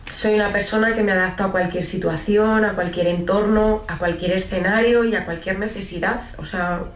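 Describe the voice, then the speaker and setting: A woman's voice: medium pitch, fairly even intonation, normal speed. Woman, 20s, from Madrid, audition self-tape, earnest, echoey room, distant mic. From Madrid, Spain. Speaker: actress auditioning.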